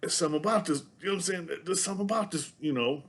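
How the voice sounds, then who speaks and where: A man's voice: a little high, rapid-fire, breathy edge. A middle-aged man from San Diego with a Southern-sounding accent, talking to camera in a loose, rambling monologue full of filler tags. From San Diego, California. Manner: rambling, loaded with "you know what I'm saying" tags. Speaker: vlogger.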